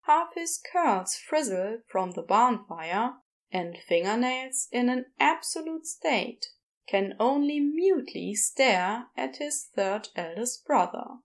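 A woman narrating a scripted story in an audiobook style. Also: a little high.

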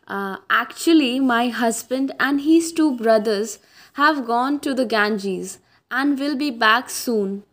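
A woman playing a gentle, hopeful wife awaiting her husband in an amateur radio drama, polite and hesitant. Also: fairly high pitch, slow. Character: Shaila Rathore, gentle, hopeful waiting wife, in denial.

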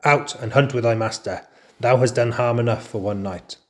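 A man voicing a proud, gruff father wolf who speaks stiffly and angrily in a storybook reading. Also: lowish voice, fairly even intonation, natural voice. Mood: angry. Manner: stiff, forceful, quick to anger.

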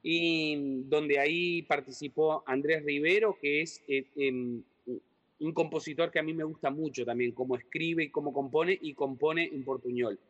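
A man, answering questions in a conversational way. His voice sits a little high, and he stumbles and repeats words as he thinks aloud. The recording is dull, with a lingering room reverb. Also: fairly even intonation, normal speed, a bit bright. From Uruguay. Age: 40s. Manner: rambling and hesitant, with many repeated words. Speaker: writer and guest. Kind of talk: conversational interview.

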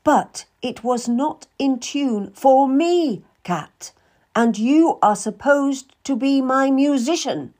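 A woman voicing an imperious, volatile young noblewoman who scolds in slow, drawn-out accusations. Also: high-pitched, darkish tone, pitched above the performer's own voice, exaggerated voice.

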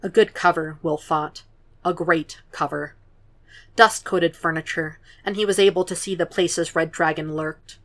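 A woman narrating a fan fiction podfic in a quiet, measured reading voice. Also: on the low side, steady tone.

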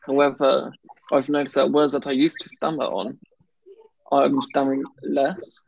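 A man chatting casually in a flat, gravelly voice, stammering and restarting on certain words. The sound is dry and a little dull, with no room in it. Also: mid-range voice, normal speed, no echo. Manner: stammers, blocks on some words, many restarts. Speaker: guest talking about his stammer. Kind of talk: casual chat.

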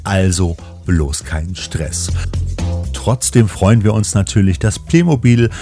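Deep-voiced man presenting over music. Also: expressive, takes it slowly, dark tone. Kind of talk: scripted magazine-show narration. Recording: music bed behind the voice.

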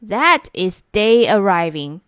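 A woman voicing grumpy male monsters who shout insults through a mountain. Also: medium pitch, sing-song, takes it slowly, clean tone, dry recording, studio quality. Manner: shouting name-calling.

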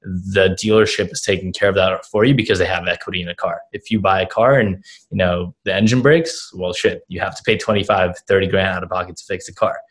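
Casting: 19-year-old man from Russia, very deep and monotone, loose rambling interview delivery. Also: fairly quick, few pauses. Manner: rambling, heavy on fillers like "you know" and "right?". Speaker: guest entrepreneur. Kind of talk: casual interview answers.

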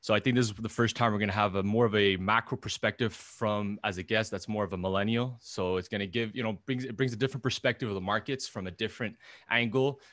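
A man's voice: on the low side, fairly even intonation, quick pace, fairly bright tone, no room sound, studio quality. A young man co-hosting a podcast, talking casually and meandering with many restarts. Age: young adult. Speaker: podcast co-host.

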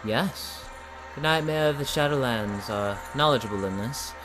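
A man's voice: medium pitch, normal speed, over music, natural voice, rough recording. A man playing a bold, loyal and ambitious young apprentice healer in a fantasy audio drama, speaking with earnest expression. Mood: frustrated yearning.

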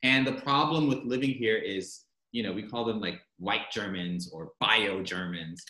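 A man's voice: lowish voice, unhurried, bright, forceful tone, echoey room, low-quality recording. An American man chatting casually as a guest, telling stories animatedly and laughing often. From United States. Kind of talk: casual chat. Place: home in Berlin.

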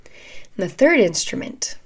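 A woman in her thirties with a scratchy, hoarse voice, calmly hosting an educational podcast while recovering from an illness. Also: slow. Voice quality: scratchy, gravelly voice. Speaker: podcast host. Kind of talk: scripted educational podcast talk.

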